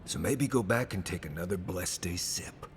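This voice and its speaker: An actor playing a gruff, hot-tempered dwarf tracker in a video game, surly and defensive. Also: male voice, low-pitched, lively intonation, normal speed, slight background noise. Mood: bristling, threatening. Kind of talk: game dialogue.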